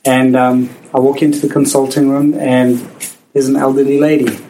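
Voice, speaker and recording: A man's voice: medium pitch, little pitch movement, mellow, slight background noise, rough recording. South African man in his forties. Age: 40s. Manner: halting, with frequent restarts and laughter. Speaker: physician. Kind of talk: personal storytelling.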